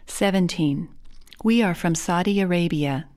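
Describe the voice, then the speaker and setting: A woman teacher reading a scripted repeat-after-me language drill in short, deliberate, clearly separated phrases. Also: on the low side, darkish tone.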